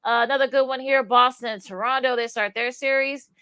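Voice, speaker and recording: A woman's voice: sits high, steady tone, a little fast, bright, forceful tone, clean tone. Hesitant woman sports radio co-host. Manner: hesitant, many fillers, thinks aloud. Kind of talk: casual sports radio chat.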